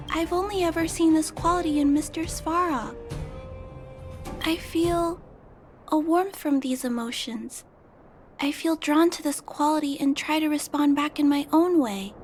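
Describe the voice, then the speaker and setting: Young girl game character played by a woman: very high voice, gentle, polite, earnest, resolute, over loud music. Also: lightly acted. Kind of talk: video game voice acting.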